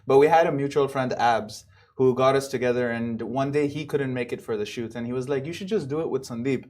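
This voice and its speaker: A man's voice: medium pitch, fairly quick. A young man from Dubai, a comedian guest telling stories in a casual chat, acting out quotes in a raised voice. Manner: animated, acts out quotes in raised voice. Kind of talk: casual podcast storytelling.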